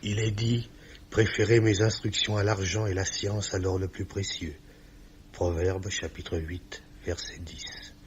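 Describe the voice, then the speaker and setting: An actor playing a pious, solemn old office chief who forever quotes scripture, in 1950s radio drama style. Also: male voice, deep voice, level tone, measured pace. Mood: solemn, preachy. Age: older. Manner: sententious, solemn, preachy. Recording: dull, noisy old radio recording.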